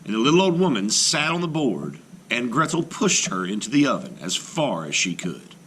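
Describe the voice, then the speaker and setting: Lively, bright male storybook reader. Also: medium pitch, moderate pace, a little hiss or hum. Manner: expressive, rising and falling with the tale. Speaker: teacher reading a story aloud. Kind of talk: storybook read-aloud narration.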